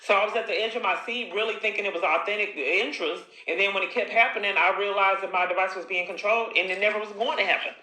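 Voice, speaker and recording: A woman's voice: mid-range voice, fast talker, few pauses, pushed and bright. A woman talking in an insistent, accusatory way, confronting someone on a call and running into long, repetitive monologues. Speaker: caller recording her own phone call. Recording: echoey room.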